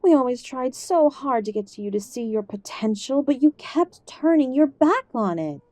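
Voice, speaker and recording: Defensive, mellow-voiced mother played by a woman. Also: high-pitched, expressive, normal speed, dry recording. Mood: defensive, scolding. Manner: defensive, reproachful. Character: defensive, dismissive mother of an adult son. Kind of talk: audio roleplay drama acting.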